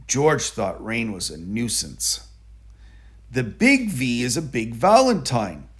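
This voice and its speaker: A man narrating a children's picture book aloud in a deliberate, explanatory manner. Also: medium pitch, sing-song, fairly bright tone, husky. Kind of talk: children's storybook read-aloud.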